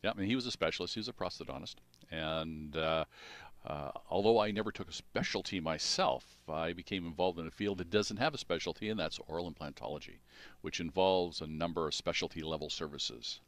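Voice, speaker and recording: Very deep-voiced older man, measured. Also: a bit bright, no echo. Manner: measured, explanatory. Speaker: dentist guest. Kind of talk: radio interview answers. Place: small radio studio. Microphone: studio mic.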